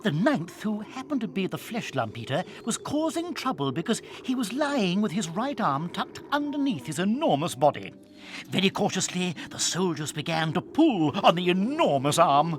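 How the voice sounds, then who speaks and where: A man's voice: high-pitched, big pitch swings, moderate pace, a little hiss or hum. A man playing the storyteller, narrating a children's audiobook drama. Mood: animated storytelling. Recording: music and effects behind the narration.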